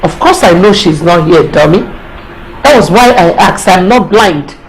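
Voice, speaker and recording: A woman's voice: deep voice, lively intonation, unhurried, vocal fry, a little mellow, slight background noise, exaggerated voice, rough recording. A woman playing a stern mother queen. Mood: commanding. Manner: scolding, commanding. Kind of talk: melodramatic drama acting.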